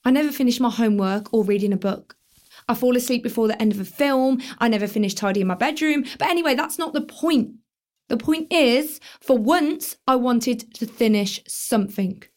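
A woman's voice: fairly high pitch, moderate pace, a little mellow, lightly acted. A woman with a British accent playing a brash, easily distracted teenage girl in a naturalistic radio play, blunt and colloquial. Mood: defensive.

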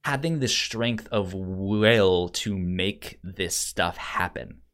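Male co-host, slightly low pitch, stutters on syllables, conversational, thinks aloud haltingly. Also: a bit bright. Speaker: podcast co-host discussing a film. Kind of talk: casual conversational film discussion.

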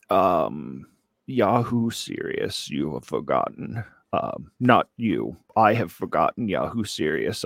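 A man giving a comic mock lecture in a put-on Australian accent, hesitant and deadpan. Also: low voice, vocal fry, dry recording, clean studio sound. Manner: putting on a joke Australian accent. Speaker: comic presenter giving a slideshow talk. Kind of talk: mock lecture, improvised comedy presentation.

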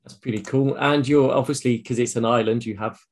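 A man's voice: medium pitch, fairly even intonation, fairly quick, darkish tone. A man with a British accent hosting a casual, conversational interview. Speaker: podcast host, interviewer.

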